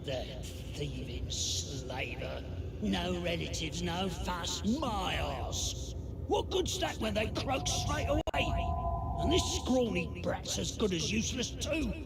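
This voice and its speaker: A coarse and cruel slave-trading shopkeeper in a radio drama. His voice is gravelly and a little high, swooping widely as he drawls in rough, colloquial speech. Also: animated, slow, loud music behind the voice, full character voice. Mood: contemptuous, angry. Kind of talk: scripted radio drama acting.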